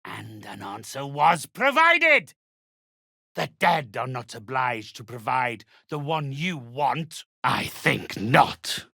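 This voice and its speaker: An actor playing a sinister, imperious undead necromancer in a video game, with grandiloquent phrasing and a creaky, breathy voice. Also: male voice, mid-range voice, big pitch swings, sharp tone, no room sound, clean studio sound, heavily acted. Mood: haughty disdain. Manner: imperious, grandiloquent, slow and drawn-out menace. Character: haughty, sinister undead necromancer and spirit medium, male. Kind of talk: theatrical video game voice acting.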